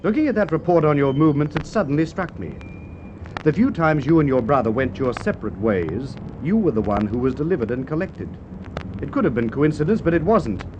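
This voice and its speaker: An actor playing a firm, methodical police inspector with an Australian accent, in Golden Age radio drama style. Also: male voice, on the high side, animated, brisk, natural voice. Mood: shrewd, triumphant. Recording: dull, hissy old radio recording.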